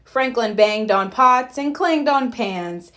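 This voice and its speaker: A woman narrating a children's picture book aloud, gentle and expressive. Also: on the high side, takes it slowly. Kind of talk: read-aloud storybook narration.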